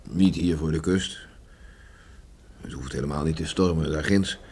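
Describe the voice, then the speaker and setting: An actor in a Dutch radio play, playing a stern, stubborn middle-aged farmer father troubled by remorse, brooding and halting. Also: rumbling low, a little mellow, husky, full character voice. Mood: evasive, hesitant. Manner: brooding, halting, muttering to himself. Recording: faint background noise on radio recording.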